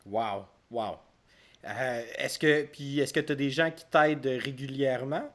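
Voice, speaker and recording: A man's voice: medium pitch, measured pace, a bit bright. A man hosting a casual interview podcast, asking questions with many hesitations and fillers. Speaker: podcast host.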